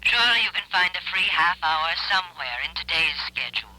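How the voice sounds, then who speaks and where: A coy, flirtatious woman presses insistently down a telephone line in a 1970s radio drama. Her tone is extremely bright and sharp through the phone filter. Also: on the low side, lively intonation, a little fast. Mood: coy, pressing.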